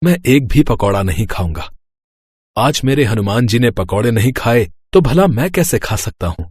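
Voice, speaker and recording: A man as an earnest, husky devout husband. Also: medium pitch, big pitch swings, moderate pace, a little mellow, no room sound, clean studio sound. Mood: stubborn, hurt. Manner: earnest, insistent. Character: devout, stubborn, gentle middle-aged husband.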